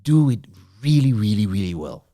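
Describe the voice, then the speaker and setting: A middle-aged man talks in a fairly low voice that swings widely in pitch. He is conversational and emphatic, repeating phrases and checking that he is followed. The sound is close and dry. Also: very animated, normal speed, warm tone, dry recording. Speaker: interviewer, author. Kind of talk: conversational interview.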